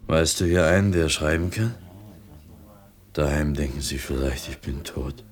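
An actor playing a talkative, earnest and persuasive poor potter in a German radio play. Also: male voice, rumbling low, unhurried. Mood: earnest, emphatic.

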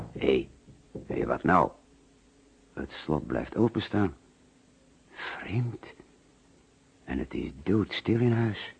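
A man's voice: rumbling low, vocal fry, darkish tone, full character voice. An actor with a Netherlands Dutch accent playing an anxious, hesitant businessman who narrates and mutters to himself in a radio play. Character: anxious middle-class businessman recounting finding a murdered man. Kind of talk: radio play acting with first-person narration. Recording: muffled, noisy old radio play recording.

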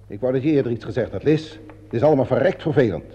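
A man's voice: mid-range voice, very fast, warm tone, husky, natural voice. An actor playing a commanding, exasperated theatre man in a radio play, brusque and authoritative. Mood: exasperated, firm. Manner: brusque, authoritative, takes charge. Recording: dull, muffled old radio play recording.

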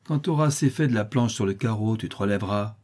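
A man's voice: fairly low pitch, fast talker, a little mellow, close to an everyday voice. A man voicing a sly, mocking crippled street boy in a dramatized audiobook. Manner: jeering, sneering.

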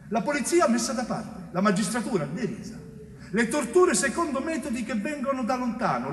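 A distant, reverberant stage recording carries a bitter police chief speaking from death. The man delivers a lucid monologue with wide swings of pitch and deliberate pauses, phrases often sinking at the end. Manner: deliberate, dramatic pauses, phrase endings dropping low. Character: dead police chief, bitter, lucid, recounting his thwarted investigation. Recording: roomy stage recording, distant mic, faint noise.

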